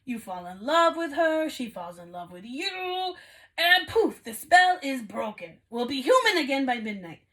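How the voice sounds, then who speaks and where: A woman's voice: sits high, a little slow, voice pushed up, heavily acted. A woman voicing an eager, persuasive male enchanted candelabra servant in a storybook. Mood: eager persuasion. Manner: excited, persuasive.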